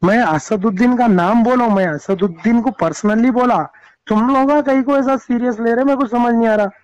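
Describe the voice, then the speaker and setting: Male, Hyderabadi accent, high register, defensive and repetitive on a call, dull close phone recording. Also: moderate pace, a little mellow, dry recording. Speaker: call recipient. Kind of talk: phone argument.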